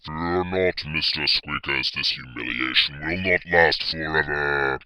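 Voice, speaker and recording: A man voicing a pompous, melodramatic evil-genius baby pony with a booming villain's voice in a story reading. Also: unhurried, crowd noise. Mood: solemn, conspiratorial. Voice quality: very deep, booming voice.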